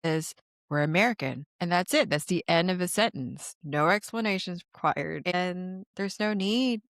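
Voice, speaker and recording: Low-voiced woman podcast host, earnest. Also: normal speed, no room sound, clean studio sound. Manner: reflective, earnest, hopeful. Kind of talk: conversational interview.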